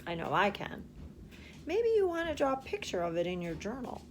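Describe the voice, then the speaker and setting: A woman's voice: medium pitch, big pitch swings, brisk, a little hiss or hum. A woman teacher reading a storybook aloud expressively, speaking directly to children and asking them questions.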